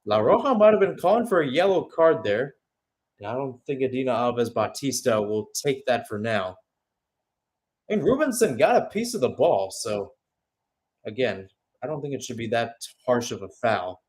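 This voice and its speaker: A man's voice: medium pitch, sing-song, moderate pace, darkish tone. A man giving live radio-style football play-by-play, mixing calls of the action with conversational, musing analysis. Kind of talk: live radio sports commentary.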